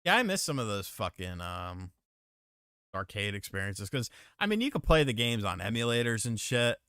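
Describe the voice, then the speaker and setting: A man with a bright, smooth voice hosts a casual gaming chat. He reads viewer messages and tells lively, swearing-heavy stories about arcades. The close mic leaves his voice dry and clean. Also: on the low side, moderate pace, no room sound. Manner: profane, animated storyteller. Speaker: podcast host reading super chats.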